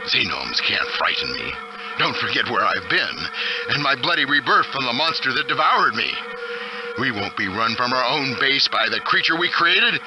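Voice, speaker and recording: An actor playing a boastful, defiant armored general in a video game, taunting with forceful, theatrical delivery. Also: male voice, medium pitch, very animated, moderate pace, music in the background, close to an everyday voice, poor audio. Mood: bitter defiance. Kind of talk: video game villain voice acting.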